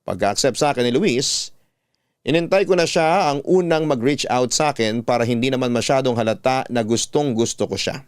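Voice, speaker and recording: A man's voice: medium pitch, moderate pace, clean tone, no room sound, studio quality. A man narrating a scripted first-person love story as the host of a storytelling program. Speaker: host reading listener love stories.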